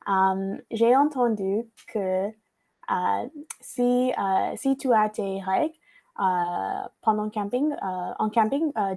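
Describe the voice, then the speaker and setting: A woman leads a virtual workshop through her computer mic. Her tone is mellow and warm. She reads from notes, often hesitating and starting phrases over. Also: medium pitch, gravelly. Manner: frequent hesitations and restarts, reading from notes. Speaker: workshop facilitator. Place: online video call.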